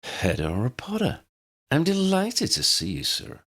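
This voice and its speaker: Man as a purring, high-voiced woman head teacher. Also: huge pitch swings, a little slow, a bit bright, heavily acted. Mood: silky, pleased. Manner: purring, gracious.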